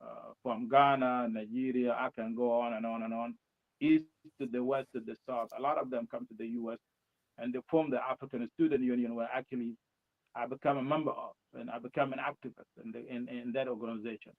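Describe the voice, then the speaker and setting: A man with a South Sudanese accent, speaking English learned later in life, answering interview questions in a halting way with many restarts. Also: medium pitch, flat delivery, vocal fry. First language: his mother tongue and Arabic. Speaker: guest, presidential candidate. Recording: dull lo-fi sound.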